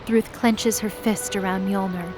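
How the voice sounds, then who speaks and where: A woman's voice: mid-range voice, fairly even intonation, measured pace, close to an everyday voice. A woman delivering dramatic scripted narration as the narrator of an audio drama. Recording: loud dramatic music behind the voice.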